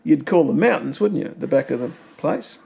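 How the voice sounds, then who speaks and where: A man's voice: fairly high pitch, lively intonation, fairly quick, gravelly, mellow. Rambling Australian man reminiscing. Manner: rambling, asks leading questions, many fillers. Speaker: family member recording memories. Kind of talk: casual reminiscing conversation.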